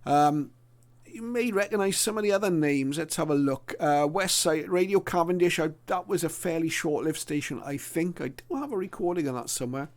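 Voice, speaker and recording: A middle-aged man explaining and reminiscing about his hobby to viewers, in a casual, halting, thoughtful way. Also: on the high side. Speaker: radio hobbyist presenter.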